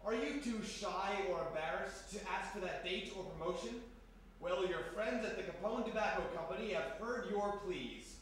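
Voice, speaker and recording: A man's voice: high voice, normal speed, a bit bright. A man giving theatrical, declamatory old-time radio announcements and scripted narration at a live performance. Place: live performance in a reverberant indoor room. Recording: echoey live hall, distant mic, faint noise.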